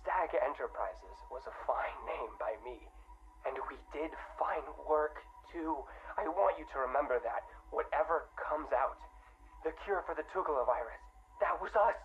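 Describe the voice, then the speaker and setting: A man's voice: fairly high pitch, expressive, moderate pace, a bit bright. An actor playing a nervous, tipsy, middle-aged scientist in a video game, stammering and apologetic as he records a rambling confession. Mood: uneasy, regretful. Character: jittery, guilt-ridden, tipsy male scientist, middle-aged. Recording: phone-like tinny audio log, faint hiss.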